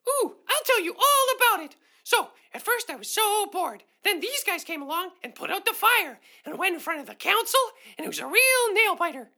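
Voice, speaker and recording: A woman voicing a small, excitable, boastful animal sidekick, chattering eagerly in a children's audio drama. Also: very high-pitched, normal speed, few pauses, heavily acted. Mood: excited, boastful.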